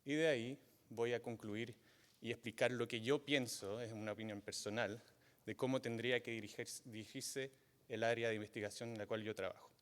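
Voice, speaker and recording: A man, not from Chile, giving a university guest lecture, halting often with fillers and restarts. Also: medium pitch, fairly even intonation. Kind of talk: academic lecture. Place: lecture hall. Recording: long reverb of a lecture hall.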